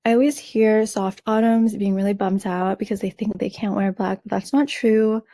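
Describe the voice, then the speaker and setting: Woman host, raspy, mellow tone, dry close sound, calm explainer. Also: mid-range voice, no echo. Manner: measured, friendly explaining with fillers and restarts. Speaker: color analysis host. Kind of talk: explaining over a slideshow.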